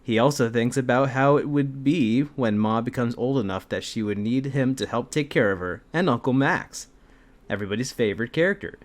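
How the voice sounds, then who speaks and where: A man's voice: medium pitch, a little fast. A man hosting an amateur fan fiction reading, moving between story narration and amused, joking banter.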